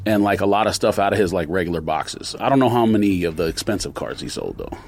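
A man's voice: low-pitched, lively intonation, fast, creaky, very noisy. A man hosting a podcast, chatting casually in a loose, slangy way with lots of fillers. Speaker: podcast host. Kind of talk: casual chat.

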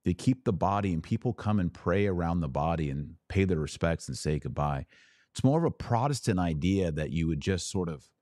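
A man hosting a podcast, giving a religious teaching monologue in an emphatic, deliberate way with dramatic pauses and rhetorical questions. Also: deep voice, darkish tone, dry recording, studio quality. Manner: didactic, preacher-like rhetorical questions. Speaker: podcast host.

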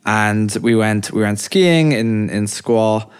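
A man with an Irish accent, a startup founder joking and telling stories in a relaxed, casual chat. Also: fairly low pitch, clean tone. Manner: relaxed, joking, drawn-out storytelling.

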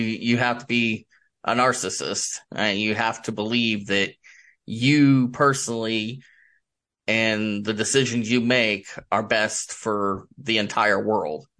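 A middle-aged man answering interview questions as a guest author, halting and thinking aloud as he talks. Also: fairly low pitch, a bit bright.

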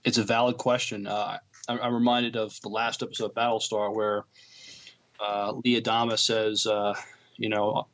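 A man's voice: lowish voice, little pitch movement, moderate pace, fairly bright tone. A man co-hosting a podcast, talking and reading news in a hesitant way, often stumbling over words. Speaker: podcast co-host.

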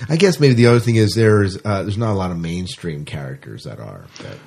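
A man's voice: sits low, sing-song, brisk, creaky, darkish tone. A man chatting casually as a podcast co-host, rambling along and often repeating his words and phrases.

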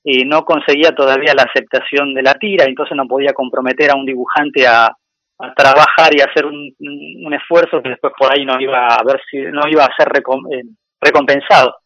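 A man explaining things conversationally as a podcast guest, often pausing to find his words. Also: mid-range voice, fairly even intonation, fairly bright tone, no room sound. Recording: dull, dry, radio-like sound.

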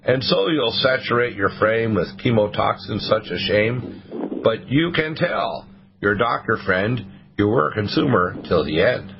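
Hoarse talk radio host. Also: male voice, lowish voice, lively intonation, noisy background. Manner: halting, restarts often. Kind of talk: talk radio, reading a poem aloud. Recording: dull lo-fi radio broadcast.

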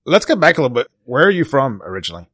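A man's voice: mid-range voice, big pitch swings, rapid-fire, a bit bright, no echo, studio quality. A middle-aged man hosting a podcast, interviewing in a conversational style. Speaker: podcast host. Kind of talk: conversational interviewing with scripted intro.

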